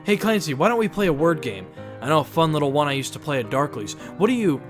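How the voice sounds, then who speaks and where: A man's voice: a little high, sing-song, quick pace. An earnest young male hero played by a man in a dramatized audio story. He sounds purposeful and determined, with a troubled edge. Music runs behind him. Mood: friendly.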